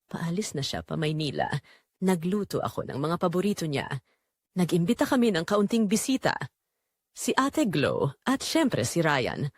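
Romance audio drama lead: actress as yearning married mother, confessional, lowish pitch, dry close sound, dramatic pauses. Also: sing-song, dry recording. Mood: longing, tense. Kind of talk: first-person romance audio drama narration.

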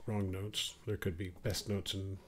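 A middle-aged man with a cold, explaining guitar arranging in a relaxed, thinking-aloud way. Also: low-pitched, unhurried, slight background noise. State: has a cold. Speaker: guitar teacher. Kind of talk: casual guitar tutorial. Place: small home music room, indoors. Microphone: studio mic on stand, wearing headphones. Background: acoustic guitar playing.

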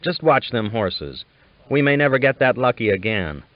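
A man's voice: mid-range voice, sing-song, normal speed, dry recording, natural voice. A stern cattle boss played by a male reader gives terse, practical orders with an impatient edge. It is western audiobook dialogue. The recording is dry and slightly dull. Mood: exasperated.

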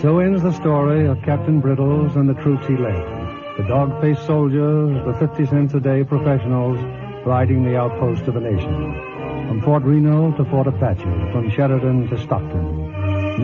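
An actor narrating a frontier cavalry tale in solemn, oratorical old radio style. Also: male voice, medium pitch, lightly acted. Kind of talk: 1940s-50s radio drama narration. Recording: tinny old radio recording, music behind.

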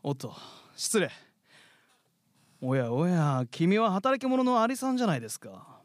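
A man's voice: sits high, big pitch swings, unhurried, no room sound, clean studio sound. A man playing a cocky, carefree, teasing katydid in a theatrical radio drama style. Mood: smug, teasing. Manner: theatrical, mock-polite turning taunting. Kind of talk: live radio drama acting. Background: music.

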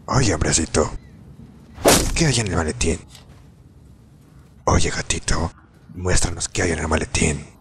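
A man's voice: low-pitched, a little slow, long pauses, background noise. A man voicing a crude, threatening male bandit leader in a fan dub of a game. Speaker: fan dubber voicing all male parts.

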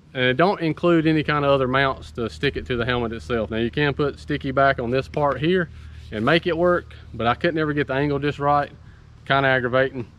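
A man's voice: mid-range voice, a bit bright. A man, giving a casual, unscripted product review in a plain, folksy way. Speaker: product reviewer and channel host. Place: outdoors in leafy woods beside a motorcycle.

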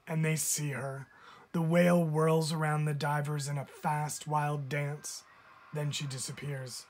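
A man reading a children's picture book aloud like a teacher, with expressive emphasis. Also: fairly high pitch, unhurried. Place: indoors, book filmed from above.

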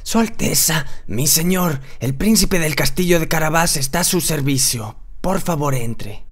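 A performer voicing a crafty, flattering male cat servant in a children's cartoon fairy tale, theatrical and courtly. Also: medium pitch, a little slow, lightly acted. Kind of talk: children's cartoon storybook acting.

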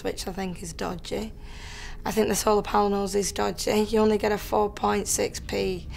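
Gravelly young woman, blunt. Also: mid-range voice, a little mellow, slight background noise. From Lancashire, England. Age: young adult. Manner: blunt, swears casually. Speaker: interviewee. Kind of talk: casual talk to camera. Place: living room at home, indoors.

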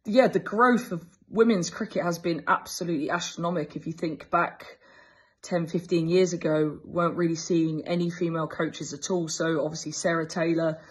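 A woman's voice: fairly low pitch, steady tone, normal speed, slightly rough. An English woman in her thirties, a cricket coach answering interview questions enthusiastically over a video call, with frequent fillers and restarts. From England. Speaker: fast bowling coach. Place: indoors, small room. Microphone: earbuds on a video call.

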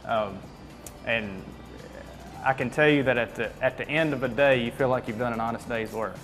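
A man's voice: medium pitch, moderate pace, a bit bright, soft background music. A man in his thirties speaking candidly and hesitantly in a casual, easygoing interview. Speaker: dairy farmer. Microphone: lapel.

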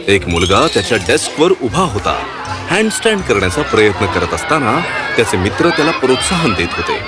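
A man's voice: lowish voice, sing-song, fairly quick, a loud crowd. A man narrating a scripted children's fairy tale as a storyteller. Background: background music.

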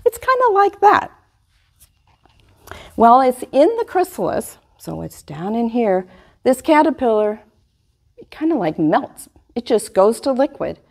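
A middle-aged woman giving a lively science lesson to young children, filmed indoors by a fixed camera. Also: fairly high pitch, unhurried, long pauses. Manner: animated, speaks to young kids. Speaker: extension master gardener presenter. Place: small indoor room before a wall display.

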